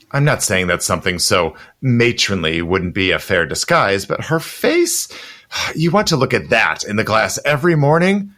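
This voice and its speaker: A man's voice: medium pitch, sharp tone, slight background noise. A man playing a roguish, imperious protector in an audio drama, urbane, commanding, impatient and darkly flippant. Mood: amused distaste.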